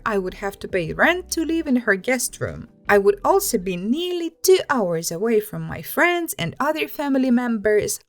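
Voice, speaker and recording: A woman narrates internet stories in a fairly high voice with strongly varied, expressive intonation. She reads as a channel host and adds commentary, with faint music playing behind her.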